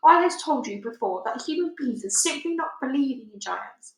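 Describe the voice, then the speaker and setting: Lady doing a big friendly giant from a kids storybook, gloomy, muddled grammar, highish voice, roomy echo. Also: lively intonation, moderate pace. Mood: doubtful, dismissive. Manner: garbled, ungrammatical folksy speech.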